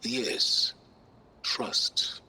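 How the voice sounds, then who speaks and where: An actor playing a smug, calculating older man in a video game, measured and self-assured. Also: sharp tone, heavily acted. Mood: smug, cool.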